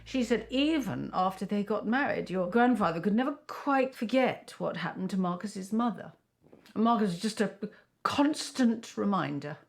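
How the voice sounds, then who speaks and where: A woman's voice: mid-range voice, animated, normal speed, gravelly. A woman playing a bitter, scornful middle-aged aunt with a British accent, acerbic and dramatic, in an interactive mystery film. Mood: grave, confiding. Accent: British English. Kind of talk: naturalistic screen acting in an interactive mystery film.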